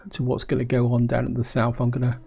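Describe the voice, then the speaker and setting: A hoarse man with a cold, musing aloud as he plays a strategy game, often asking himself questions and speaking to his viewers. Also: mid-range voice, monotone, quick pace, warm tone. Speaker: gameplay commentator.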